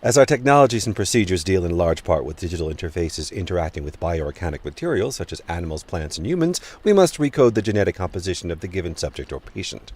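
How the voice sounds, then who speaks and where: A man playing a smooth, evasive chief researcher in a sci-fi audio drama interview, formal and technical in his wording. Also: deep voice, expressive, fast talker, hardly any pauses, a little mellow. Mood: self-assured. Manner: formal, technical, self-assured.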